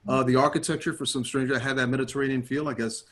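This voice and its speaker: A man's voice: mid-range voice, fairly even intonation, fairly bright tone, a little hiss or hum. A man hosting a casual interview, chatting and reacting with frequent mm-hmms. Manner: fast-talking, lots of backchannel mm-hmms. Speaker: host.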